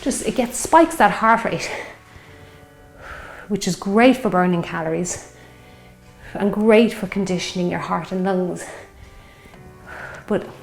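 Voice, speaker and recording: A slightly hoarse woman in her fifties leads a strength class, calling out moves and counting reps as she exercises along. Her voice rings in a roomy space with faint music underneath. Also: lowish voice, slow pace, pauses often. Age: 50s. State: slightly hoarse from a sore throat. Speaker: fitness instructor. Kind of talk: guided workout instruction.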